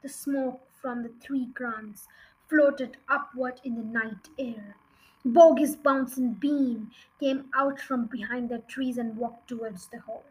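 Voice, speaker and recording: A woman's voice: on the high side, steady tone, takes it slowly, poor audio. A woman reading a children's storybook aloud as an audiobook narrator. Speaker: audiobook reader.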